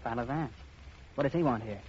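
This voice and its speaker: A man's voice: medium pitch, fairly quick, natural voice. A man playing a pushy, evasive young banker's son in a 1950s radio drama. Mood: defensive, nervous. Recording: muffled, noisy old radio recording.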